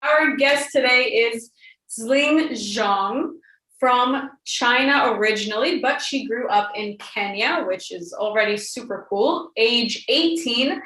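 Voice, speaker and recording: Woman slowly reading an intro, echoey. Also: on the high side, fairly bright tone. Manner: slow, deliberate reading. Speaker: podcast host. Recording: echoey room.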